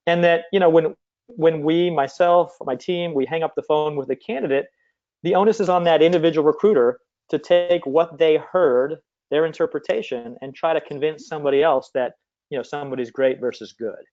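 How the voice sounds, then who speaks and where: A man's voice: fairly high pitch, brisk, a little mellow. A man speaking conversationally as an interview guest, explaining things over slightly muffled call audio. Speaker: guest, company CEO and former recruiter.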